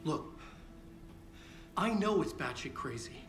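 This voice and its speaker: An actor playing a haunted, desperate writer in a video game, speaking in a troubled way. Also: male voice, on the high side, big pitch swings, fairly bright tone, a little hiss or hum, heavily acted. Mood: urgent, troubled. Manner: halting, troubled, repeats words. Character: haunted, desperate male writer escaped from a nightmare. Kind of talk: dramatic video game acting, narration and dialogue.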